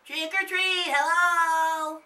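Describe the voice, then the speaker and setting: A cocky little boy from a Halloween story, voiced by a man, pitched very high and bright. He teases with giggling bravado, loud and bossy, in a roomy, distant recording. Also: steady tone, drawn-out words, full character voice. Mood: loud, calling out. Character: bold, teasing young boy, cocky trick-or-treater.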